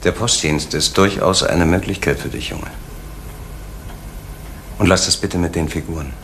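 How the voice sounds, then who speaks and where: A man's voice: low voice, moderate pace, fairly bright tone, a little hiss or hum. An actor playing a strict middle-aged male postal official and father, stern and commanding, in 1970s radio drama style. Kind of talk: 1970s East German radio drama acting.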